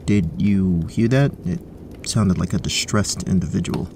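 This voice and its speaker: A man playing a young, unflappable dungeon inspector, formal, pedantic and deadpan, in an audio drama. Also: deep voice, measured pace, vocal fry, background noise, full character voice. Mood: formal, unruffled. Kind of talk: audio drama character acting.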